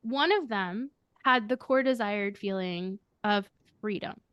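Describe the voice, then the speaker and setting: A woman with a high, girlish voice answering interview questions, speaking earnestly and reflectively as a coach who leads a firm. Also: a little slow, clean tone, no room sound, lo-fi sound. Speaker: guest, coach and firm leader.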